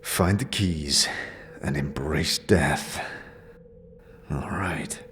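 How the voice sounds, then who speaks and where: A man playing a battered, dogged immortal wanderer in a fantasy audio drama, muttering in a gruff, world-weary way. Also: a bass voice, very slow, fairly bright tone, slight background noise, full character voice. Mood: resigned. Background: music.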